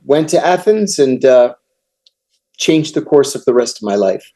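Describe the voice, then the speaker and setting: Middle-aged american guy, dark mellow voice, calm storyteller in an interview. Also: mid-range voice. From United States. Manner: measured, anecdotal storyteller. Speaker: guest, former intelligence officer.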